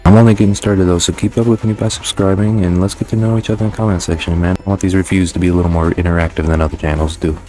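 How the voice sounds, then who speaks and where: A man with a low voice hosts a video game review. He talks casually and informally with plenty of slang, conversational throughout, over a faint bed of music. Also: level tone, very fast, few pauses, mellow. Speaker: host of a game review channel.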